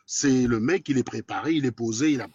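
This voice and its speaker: A man's voice: mid-range voice, darkish tone, breathy edge, no echo, muffled recording. A man in his forties with a Cameroonian accent, speaking bluntly and crudely in a casual live chat. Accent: Cameroonian French. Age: 40s. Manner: blunt, crude and combative, quick and talkative. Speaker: guest in a live debate.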